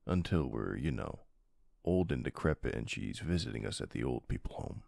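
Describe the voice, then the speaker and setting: A man playing a tough but soft-hearted mafia boss husband in an intimate ASMR roleplay, murmuring tenderly with a very deep, gravelly voice. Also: expressive, normal speed, no echo, clean studio sound, heavily acted. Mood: tender, uneasy.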